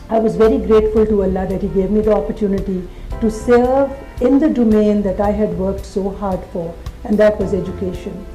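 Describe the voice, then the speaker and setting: A middle-aged woman with a Pakistani accent, a school founder speaking in a documentary interview and building her points in long, measured lists. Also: medium pitch, warm tone, reverberant, low-quality recording.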